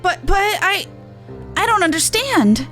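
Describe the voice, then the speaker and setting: Woman voicing an earnest, high-voiced game merchant woman. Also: sing-song, measured pace, bright, forceful tone, soft background music, heavily acted. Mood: earnest, pleading. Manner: earnest, plain-spoken, colloquial. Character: earnest, brisk woman merchant running a resistance charity. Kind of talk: reading video game dialogue in character.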